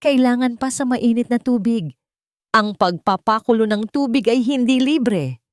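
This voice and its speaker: A harsh older mother-in-law, acted out by a female storyteller, pitched up from narration. She nags and scolds with emphatic complaint, counting every cost, in a dry recording. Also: unhurried, no room sound, natural voice. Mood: angry scolding.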